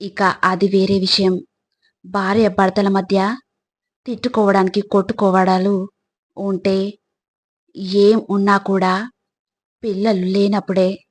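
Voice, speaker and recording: Slow, even-toned woman narrating motivational advice. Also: medium pitch. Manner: deliberate, instructive, addressing the listener directly.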